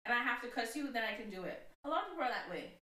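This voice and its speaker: A woman's voice: a little high, lively intonation, very fast, pushed and bright. A woman who grew up in Jamaica co-hosting a casual podcast chat, teasing and giggling often. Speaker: podcast co-host. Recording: echoey room, voice a bit distant.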